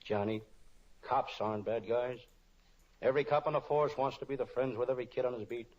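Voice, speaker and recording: A wisecracking, kind-hearted private detective, acted by a man with a medium-pitched voice. The style is old radio detective spoof. The recording is dull and lo-fi. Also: steady tone, fairly quick, a little mellow, natural voice. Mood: sincere, reassuring.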